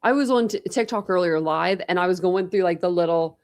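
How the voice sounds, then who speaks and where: Young woman rambling on a livestream, slight lisp, kinda low gravelly voice. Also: brisk. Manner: chatty, rambling, answers chat comments. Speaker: true crime livestream host. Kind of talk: casual livestream chat with viewers.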